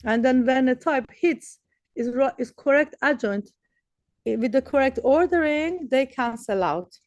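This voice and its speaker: A woman's voice: sits high, animated, measured pace, darkish tone, no room sound, dull audio. A woman whose mother tongue is Persian explains theory as an academic speaker, enthusiastic and checking that listeners follow. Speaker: academic speaker giving a research talk. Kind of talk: explanatory lecture with question and answer.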